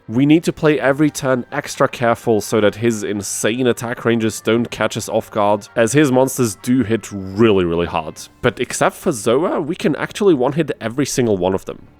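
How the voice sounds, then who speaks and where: A man hosting a gaming video, narrating a challenge run from a script with conversational, self-deprecating asides. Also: mid-range voice. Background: music under the voice.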